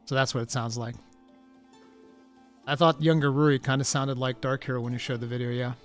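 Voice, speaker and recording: A man's voice: mid-range voice, faint music behind. A man from Portugal, a native Portuguese speaker, hosting a live stream in casual, chatty talk. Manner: chatty, animated, quick asides.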